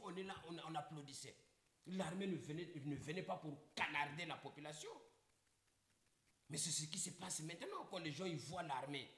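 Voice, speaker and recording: A middle-aged Congolese man holds forth on a live stream in an emphatic, rhetorical way. His pitch sits high for a man and leaps around a lot. The room around him rings with echo. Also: fairly bright tone. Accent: Congolese French. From Republic of the Congo. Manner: emphatic, rhetorical, repeats points. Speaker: livestream guest. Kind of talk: impassioned live talk. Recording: echoey room.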